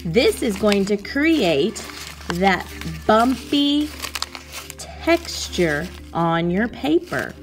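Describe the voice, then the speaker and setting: A woman art teacher giving a slow, patient, step-by-step lesson to children over a video of her hands at work. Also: mid-range voice, big pitch swings, music in the background.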